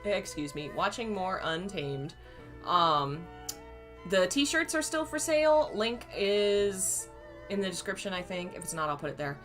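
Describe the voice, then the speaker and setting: A hoarse woman reading a novel aloud on her channel, chatty and fond when she talks to viewers. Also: mid-range voice, big pitch swings, a little slow, fairly bright tone, soft background music. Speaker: reader and channel host.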